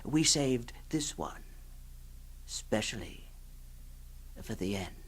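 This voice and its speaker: An actor playing a sly séance host introducing a radio horror anthology, hushed, sinister and teasing, with hesitant phrasing. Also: male voice, mid-range voice, expressive, slow pace, slight background noise. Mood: sly, inviting. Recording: 1960s radio drama with faint music.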